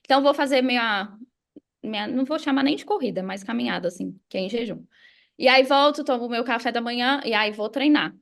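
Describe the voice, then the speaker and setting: Brazilian female coach, high and creaky voice, casual explanatory interview delivery. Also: a little fast. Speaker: podcast guest, money mindset coach.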